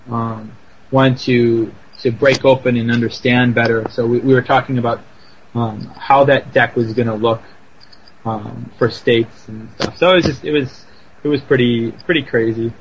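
A man chatting on a podcast in a halting way, with lots of restarts and filler words. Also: medium pitch, steady tone. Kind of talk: casual podcast chat. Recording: dull lo-fi line with faint noise.

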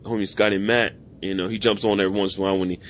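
A man's voice: on the low side, level tone, racing, lo-fi sound, a little hiss or hum. A man hosting a podcast in casual chat, with laid-back slang and rambling talk. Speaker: podcast host. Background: music.

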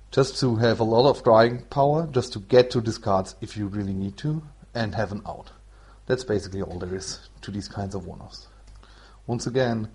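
A man from Germany with a German accent, hosting a solo podcast in an explanatory, somewhat halting non-native way. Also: on the low side, mellow, husky. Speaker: podcast host. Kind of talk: explanatory solo podcast talk about card game strategy.

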